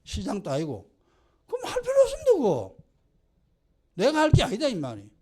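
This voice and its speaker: An older man with a Gyeongsang Korean accent preaches, scolding his listeners with pointed rhetorical questions. His voice sits high and leaps across a very wide range, rising sharply when he presses a point. He talks straight to the congregation. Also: huge pitch swings, brisk, a little mellow, husky. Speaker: pastor. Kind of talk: sermon.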